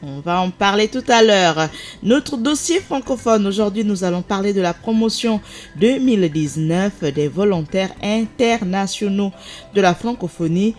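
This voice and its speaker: A woman presenting a live radio show, talking briskly and chattily and sometimes correcting herself. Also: medium pitch, lively intonation, darkish tone, soft background music.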